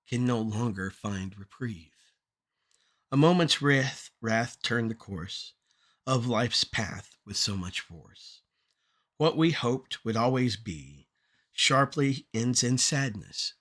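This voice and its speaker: A man reading his own poetry aloud in an earnest, deliberate way, pausing between short phrases. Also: lowish voice, pushed and bright. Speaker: poet reading own work. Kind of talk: poetry recitation at a draft table read.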